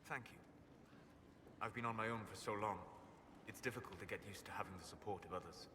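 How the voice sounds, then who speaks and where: A man's voice: lowish voice, a little fast, in a large hall, noisy background, close to an everyday voice. A man voicing a brooding lone warden. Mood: earnest.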